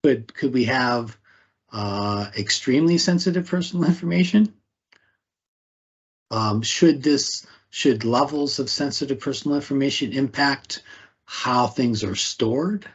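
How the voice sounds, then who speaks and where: A man's voice: mid-range voice, unhurried, husky, dull audio. A man leading a talk on privacy, posing rhetorical questions and often tagging them with "right?". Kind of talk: conversational presentation.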